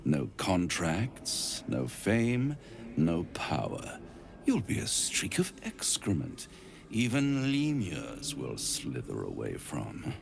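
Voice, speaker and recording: Slick pompous devil schemer for a video game, slow savouring drawl, creaky low end. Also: male voice, wildly swooping pitch, slight background noise, exaggerated voice. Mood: silky, persuasive. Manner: pompous, florid, savouring each phrase. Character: suave, smug male devil, theatrical silver-tongued schemer. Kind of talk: theatrical fantasy game acting, rhyming and grandiose.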